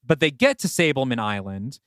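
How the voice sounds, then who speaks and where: A man's voice: mid-range voice, sing-song, fairly quick, dry recording, studio quality. A man co-hosting a podcast, casually brainstorming story ideas in a tentative, thinking-aloud way. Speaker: podcast co-host and writer. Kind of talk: casual creative brainstorming talk.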